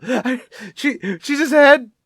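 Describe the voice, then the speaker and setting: A man's voice: extremely high pitch, takes it slowly, fairly bright tone, no room sound, clean studio sound, full character voice. A man playing a cornered, defensive murder suspect in a radio crime drama, stammering and pleading. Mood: desperate, breaking down. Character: cornered, defensive male murder suspect, cracking under pressure.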